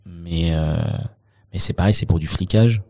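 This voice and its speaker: Low-voiced Frenchman, mellow and hesitant. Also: unhurried. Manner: hesitant, many fillers and restarts. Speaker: accountant, guest. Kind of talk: conversational interview.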